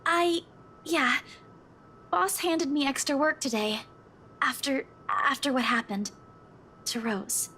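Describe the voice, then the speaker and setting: A woman playing a shaken, exhausted young office worker in a visual novel, speaking haltingly. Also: sits high, bright, forceful tone, slight background noise, exaggerated voice. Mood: shaken, subdued. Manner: halting, hesitant delivery.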